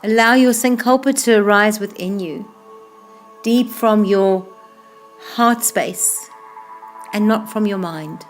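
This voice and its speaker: A woman leads a guided relaxation as a yoga instructor, with music playing behind her. Her pitch sits in the middle for a woman. She speaks slowly and soothingly, letting the ends of phrases fade softly. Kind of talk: guided meditation, slow calm instruction.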